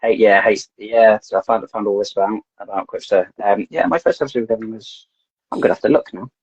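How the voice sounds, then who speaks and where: Gravelly husky-voiced man chatting casually. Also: fairly low pitch, fairly quick, a little mellow, dry recording. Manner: rambling, repeats words as he talks. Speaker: podcast guest. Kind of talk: casual podcast chat. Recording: dull lo-fi dry sound.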